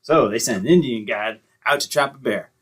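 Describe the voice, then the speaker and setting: A man's voice: medium pitch, brisk, a bit bright, breathy edge. A man playing a breezy, wisecracking boyfriend in an old-time radio comedy, talking in casual, folksy slang. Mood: wry, easygoing.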